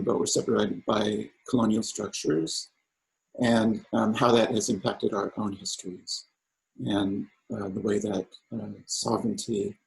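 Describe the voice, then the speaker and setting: A man who grew up speaking Samoan, an artist answering panel questions in a halting way with many fillers and restarts. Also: on the low side, gravelly, rough recording.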